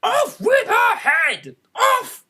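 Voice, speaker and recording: A man voicing an imperious, short-tempered storybook queen, shrill and loud, barking severe orders. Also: huge pitch swings, very slow, exaggerated voice. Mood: screaming fury. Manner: severe, screaming, impatient.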